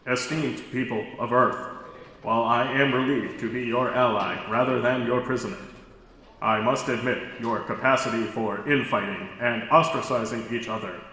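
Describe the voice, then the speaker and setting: A man's voice: medium pitch, steady tone, moderate pace, bright, forceful tone, close to an everyday voice. A man playing a polite, formal, weary starfish alien in an audio drama. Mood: gentle, earnest. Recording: heavy echo and long reverb.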